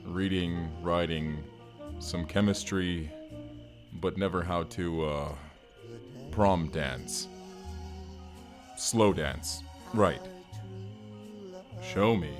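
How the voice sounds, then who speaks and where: A man acting big gentle barbarian, deep voice, earnest, halting formal speech, audio drama, music underneath. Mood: shy, earnest. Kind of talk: first-person audio roleplay acting.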